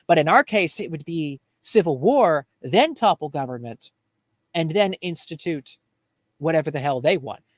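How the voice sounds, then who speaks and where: Higher-voiced man, earnest political commentator. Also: dry recording. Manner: earnest, emphatic, repeats phrases for emphasis. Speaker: show co-host. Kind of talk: political commentary in casual chat.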